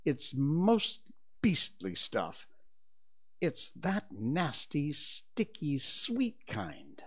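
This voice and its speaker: Man acting a pompous, blustering father, swooping pitch. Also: very animated, measured pace. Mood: squirming, reluctant. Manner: pompous, indignant, self-justifying. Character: pompous, blustering, cowardly father of young children.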